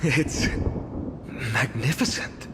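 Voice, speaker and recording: A man's voice: mid-range voice, very slow, noisy background. An actor playing a cheerful, playful young swordsman in a fantasy game, teasing and theatrical in delivery. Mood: wry, teasing. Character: cheerful, playful young heroic male swordsman eager for challenge. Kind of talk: scripted fantasy game voice acting. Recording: loud game music underneath.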